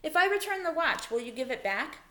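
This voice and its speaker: A woman's voice: high-pitched, expressive, fairly quick, sharp tone, pitched above the performer's own voice. Woman reading as a bold little bargaining mouse. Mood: insistent. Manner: insistent, bargaining. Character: small, bold, bargaining male mouse from a children's storybook. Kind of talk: storybook read-aloud.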